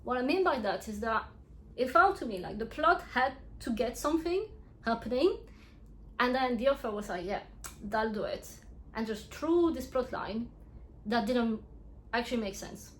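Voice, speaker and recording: A woman's voice: fairly high pitch, animated, moderate pace, low-quality recording. A young woman with a French accent, a native French speaker, reviewing books in a casual, frank vlog, laughing often and restarting her sentences. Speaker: book reviewer.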